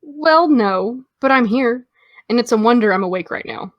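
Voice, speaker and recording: A woman's voice: fairly high pitch, lively intonation, normal speed, dry recording, highs cut off, lightly acted. An actress playing a guarded, hungover teenage girl, dry, hesitant and defensive, in a naturalistic audio drama.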